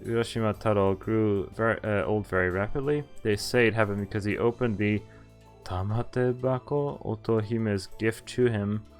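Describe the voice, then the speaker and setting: A man playing through a visual novel, reading the text aloud and commenting in a wry, conversational way. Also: on the low side. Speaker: streamer. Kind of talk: casual game walkthrough, reading dialogue aloud and commenting. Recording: game music behind the voice.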